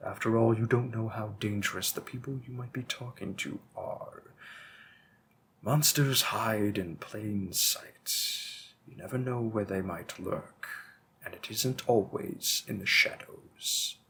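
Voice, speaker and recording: A man's voice: on the low side, vocal fry, bright, forceful tone, slight background noise, exaggerated voice. A man playing a patient, bookish vampire bookseller with a hint of menace, musing hesitantly in a conversational roleplay audio drama. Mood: quietly menacing. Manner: musing, hesitant, deliberate, with frequent pauses.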